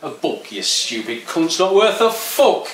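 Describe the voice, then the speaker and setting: A belligerent young skinhead who jeers and hurls threats, as a man puts him on. The voice sits a little high and comes from some distance, in a roomy echo with a faint hiss underneath. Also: sing-song, a little slow. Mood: jeering. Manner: sneering, swearing, shouted threats. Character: aggressive young male skinhead, foul-mouthed and jeering. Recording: echoey and distant with faint noise.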